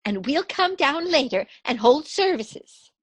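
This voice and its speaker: A woman playing a sweet, gentle elderly aunt in a radio play. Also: high-pitched, sing-song, a little slow, no echo. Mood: sweetly conspiring. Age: older.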